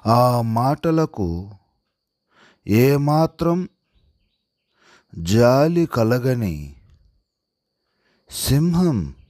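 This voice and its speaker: A man reading a story aloud as a handwriting lesson, in a deliberate dictation style, pausing after every word or short phrase. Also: medium pitch, very animated, darkish tone, dry recording, studio quality. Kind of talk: slow dictation-style storytelling read aloud while writing.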